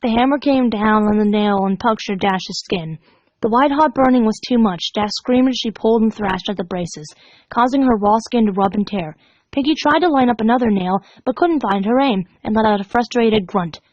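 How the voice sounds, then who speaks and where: A woman's voice: a little high, normal speed, a little mellow. A woman reading a story aloud as an amateur narrator, dramatic, now and then stumbling over the words. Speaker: fan fiction reader. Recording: dull, echoey home recording.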